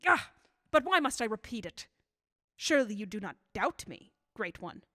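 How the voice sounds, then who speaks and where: Radio drama: bitter elderly female inventor of deadly substances played by an actress, higher-pitched, theatrical and deliberate, close dry sound. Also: sing-song, pushed and bright, no echo, full character voice. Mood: grimly proud. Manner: theatrical, deliberate, formal phrasing.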